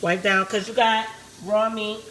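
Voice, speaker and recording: Raspy-voiced woman from Puerto Rico, home cook and stream host, loose and chatty with viewers, faint background noise. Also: medium pitch, level tone, unhurried, a bit bright. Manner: chatty, talks to live viewers while cooking. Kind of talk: casual live cooking demo. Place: home kitchen.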